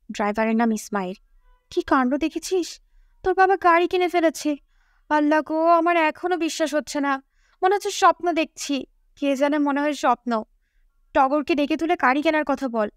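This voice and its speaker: A woman voicing an excitable, easily amazed middle-aged mother in an audiobook story, with a gushing manner. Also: sits high, normal speed, dry recording, studio quality, natural voice. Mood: amazed, excited. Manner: breathless amazement, girlish and gushing.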